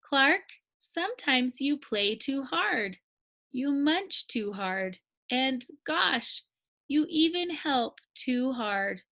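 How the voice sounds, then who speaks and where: A patient, firm lady teacher from a storybook, as a woman reader puts her on. Her voice is high and clear-cut, gently admonishing, with slow, measured phrasing. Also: lively intonation, exaggerated voice. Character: kind, patient, firm female sea-creature schoolteacher. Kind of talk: storybook character voice.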